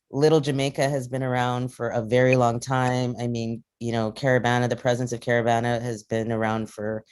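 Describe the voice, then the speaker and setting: A woman's voice: very deep voice, darkish tone. A woman from Canada, middle-aged, speaking in a casual discussion, rambling with many fillers and restarts. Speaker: panel participant.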